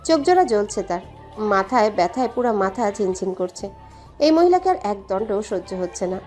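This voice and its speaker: A woman's voice: medium pitch, very animated, fairly quick, a little mellow, soft background music. A woman reading a scripted dramatic story as its narrator.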